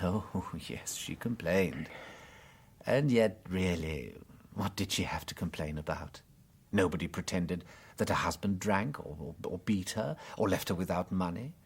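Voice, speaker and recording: An English actor playing a gentle, unworldly but shrewd priest detective in a radio drama, hesitant and politely musing. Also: male voice, deep voice, breathy edge. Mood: quietly insistent. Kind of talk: full-cast radio drama acting.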